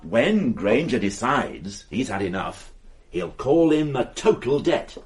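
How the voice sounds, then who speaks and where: An actor playing a dogged, excitable police detective in a radio mystery drama, reasoning aloud with emphatic insistence. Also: male voice, medium pitch, takes it slowly, breathy edge, exaggerated voice. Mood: excited, insistent. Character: dogged male police detective, excitable, sure of his theory. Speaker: investigator.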